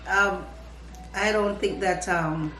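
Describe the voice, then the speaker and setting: An older woman with a Jamaican accent, a grandmother answering interview questions thoughtfully, with pauses. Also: lowish voice, steady tone, pushed and bright, faint music behind. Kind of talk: casual interview. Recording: echoey room.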